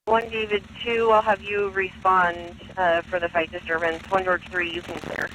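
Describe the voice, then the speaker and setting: A man's voice: high voice, flat delivery, normal speed, sharp tone, poor audio. A man working as a police dispatcher, speaking over the radio in a procedural, matter-of-fact way. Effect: police radio transmission. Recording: police radio with clear background noise.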